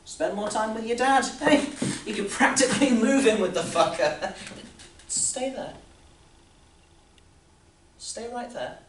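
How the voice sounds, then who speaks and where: A man's voice: medium pitch, very animated, measured pace, pauses often. A man playing an overbearing, needling boss in a rambling theatrical monologue full of rhetorical questions. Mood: controlled, eerie. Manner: rambling, insistent, with rhetorical questions and sudden swells. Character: Elwood, overbearing, needling male boss fretting about the young. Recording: roomy, distant mic, faint background noise.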